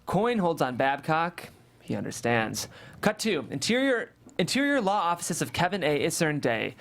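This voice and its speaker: A man's voice: a little high, sing-song, normal speed, creaky, a bit bright. A man reading stage directions aloud as scripted narration at a table read of a screenplay.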